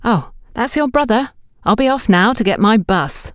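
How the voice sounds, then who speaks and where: A woman's voice: fairly high pitch, animated, moderate pace, dry recording, studio quality, pitched above the performer's own voice, close to an everyday voice. A woman voicing a young saleswoman in an audiobook, chatty, candid and emphatic. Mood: gossipy. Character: young shop saleswoman, candid, animated, gossipy.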